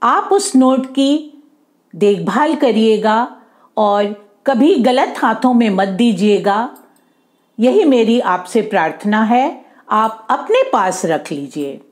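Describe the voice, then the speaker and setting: Storybook employer, kindly earnest man played by a woman, polite, very unhurried, swooping intonation. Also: fairly high pitch, expressive. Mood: insistent, kindly. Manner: earnest, polite, explanatory, slow. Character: kindly, earnest male employer entrusting a gift.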